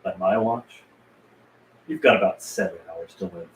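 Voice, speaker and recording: A man's voice: low-pitched, moderate pace, lightly acted. A man playing a cruel, menacing henchman with feigned politeness at a script table read. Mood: cold threat. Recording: roomy echo with clear background noise.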